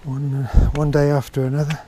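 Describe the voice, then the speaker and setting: A man talking casually to his camera as he walks a mountain trail outdoors, reflective and unhurried, with halting phrases. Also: fairly high pitch, flat delivery. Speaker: hiker filming his own walk. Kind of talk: casual walking vlog narration. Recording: noisy outdoor camera audio.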